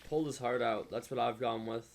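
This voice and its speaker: A man's voice: mid-range voice, fairly even intonation, brisk, vocal fry, noisy background. A man hosting a reaction video with casual, rambling, emphatic commentary.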